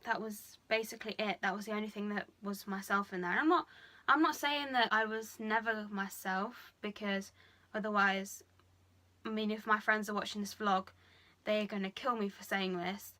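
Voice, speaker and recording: A young woman talking frankly to camera in a personal vlog, chatty and full of fillers, speaking a little lower than she usually does. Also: normal speed, bright, forceful tone. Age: young adult. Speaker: vlogger. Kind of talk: candid personal confession.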